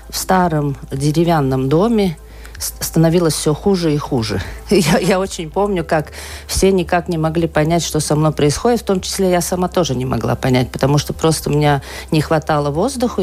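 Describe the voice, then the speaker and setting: A woman's voice: deep voice, a little fast, darkish tone. A woman from rural Latvia telling her personal story as a radio interview guest, searching for her words. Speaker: interview guest, asthma and allergy patient.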